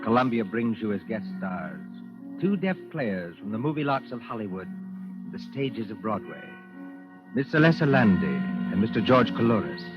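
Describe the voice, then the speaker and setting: Male host for vintage 1940s suspense radio, low deliberate ominous narration, strong musical backing. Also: very animated. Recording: muffled old 1940s radio recording. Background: loud music.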